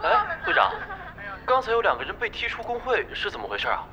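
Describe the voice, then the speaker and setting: A man's voice: high-pitched, huge pitch swings, fast, exaggerated voice. An actor playing an eager, admiring young male guild member in an audio drama, with a muffled recording. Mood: curious. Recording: muffled, noisy crowd behind.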